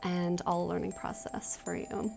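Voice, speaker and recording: A woman working as a tarot card reader, talking casually and tentatively as she gently explains a spiritual reading. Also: lowish voice, monotone, normal speed, creaky, whispered, soft background music.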